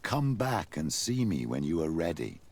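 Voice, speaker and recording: A man's voice: a bass voice, big pitch swings, normal speed, darkish tone, heavily acted. An actor playing a sly, ambitious old clergyman burdened by guilt, speaking formally and theatrically in a video game. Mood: solemn. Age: older. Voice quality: gravelly, husky. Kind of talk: video game cutscene acting.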